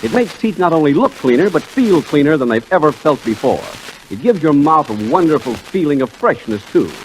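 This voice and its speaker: Animated, high-toned 1940s radio adman. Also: a little fast, rough recording. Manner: upbeat, emphatic salesmanship. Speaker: radio announcer. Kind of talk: 1940s radio commercial announcing. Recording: hissy 1940s radio recording.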